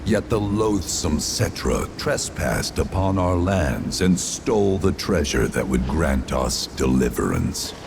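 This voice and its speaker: An actor playing an ancient, sorrowful spirit in a video game, speaking in solemn, archaic, grandiose phrases. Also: male voice, rumbling low, big pitch swings, slow pace, vocal fry, few pauses, background noise, full character voice. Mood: aggrieved, pleading. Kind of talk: formal archaic dramatic game acting.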